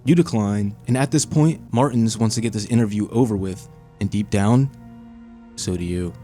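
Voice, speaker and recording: A man's voice: lowish voice, moderate pace, mellow, faint music behind. A man narrating a scripted video essay in a suspenseful storytelling manner. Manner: suspenseful second-person storytelling.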